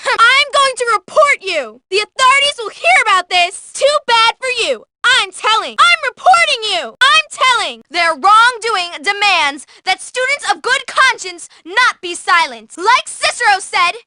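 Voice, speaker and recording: A woman's voice: very high voice, very animated, measured pace, background noise, heavily acted. A woman playing a bookish teenage schoolgirl in a video game, verbose, haughty and theatrical. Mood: indignant, shrill. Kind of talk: exaggerated video game character acting.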